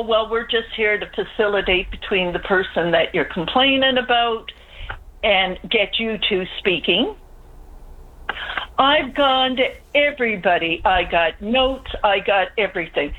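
An older woman, calling in to a radio show and pouring out her frustration in long, pleading runs. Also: medium pitch. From Newfoundland, Canada. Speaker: caller. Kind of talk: call-in radio complaint. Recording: tinny phone line.

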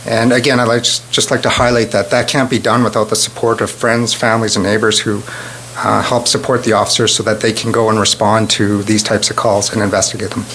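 A man's voice: on the low side, little pitch movement, fairly quick, a bit bright, slight background noise, poor audio. A man giving a formal police statement in a news clip, with some hesitant fillers. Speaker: police spokesperson.

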